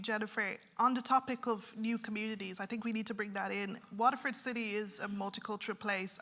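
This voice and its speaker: A woman's voice: mid-range voice, pushed and bright. A woman chairing a panel discussion, putting direct questions to the speakers. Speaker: moderator.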